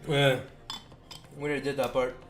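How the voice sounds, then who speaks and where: A man's voice: mid-range voice, little pitch movement, normal speed, a bit bright, faint music behind. A man livestreaming a visual novel, reading its dialogue aloud in a theatrical way. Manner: theatrical, acting out characters while reading. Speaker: streamer.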